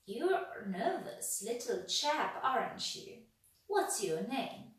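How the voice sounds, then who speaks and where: A woman voicing a kindly male tramp who speaks gently and soothingly, recorded in an echoey room from a distance. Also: fairly high pitch, big pitch swings, a little slow.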